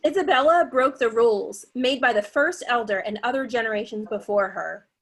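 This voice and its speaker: Woman playing a high-voiced, imperious cult leader. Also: brisk. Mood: defiant. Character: cold commanding female cult leader, unrepentant, righteous. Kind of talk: dramatic script table read.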